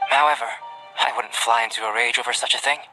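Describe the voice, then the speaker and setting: Calm young alchemist in a video game, mid-range voice, polite n precise, kinda reserved, music behind him. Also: male voice, medium pitch, poor audio. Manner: measured, formal, analytical. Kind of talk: video game cutscene voice acting.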